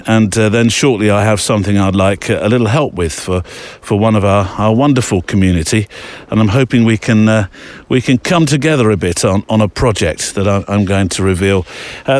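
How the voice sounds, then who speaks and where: A man's voice: fairly low pitch, moderate pace. A male podcast host talks his way through a walk in the snowy mountains. His speech rambles, full of ums, uhs and restarts, in an easygoing, unscripted manner. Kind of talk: casual spoken monologue. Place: outdoors in a snowy mountain area.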